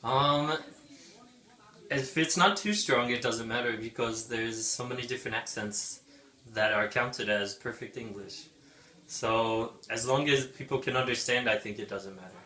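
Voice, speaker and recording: A man in his thirties from New York State, an English teacher answering interview questions in a relaxed, thoughtful way. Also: medium pitch, unhurried, roomy sound. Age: 30s. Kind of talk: casual street interview answers.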